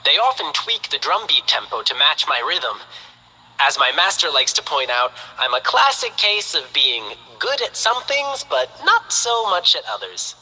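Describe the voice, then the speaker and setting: A man voicing a cheerful, earnest young lion dancer who talks in a bright, friendly, enthusiastic way in game character voice lines. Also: a little high, very animated, moderate pace, husky, in a large hall, full character voice, poor audio. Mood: upbeat.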